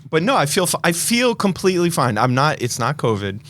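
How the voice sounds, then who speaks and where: A man's voice: a little high, sing-song, fairly quick, a bit bright. A man co-hosting a movie podcast in casual chat, stuffed up from a head cold and rambling as he corrects himself. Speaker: podcast co-host.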